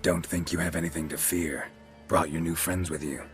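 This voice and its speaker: A man playing a stoic, laconic monster hunter in a video game, with a low gruff voice and a terse, deadpan delivery. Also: normal speed, soft background music, natural voice. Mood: dry, guarded. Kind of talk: video game cutscene acting.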